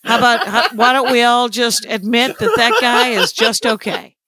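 A woman comedian chatting casually on a podcast, her voice scratchy and tired, with a blunt, deadpan way of talking. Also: a little high, normal speed. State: hoarse, tired. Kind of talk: casual chat.

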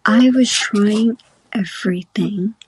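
A woman slowly telling her personal story in a soft, breathy, halting way. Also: mid-range voice, slight background noise, poor audio.

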